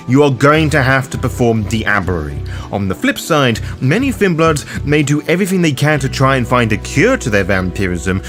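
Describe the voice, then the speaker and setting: Male guide host, scripted explainer read, animated pitch movement, cheeky and wry, music underneath. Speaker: host of a tabletop roleplaying guide.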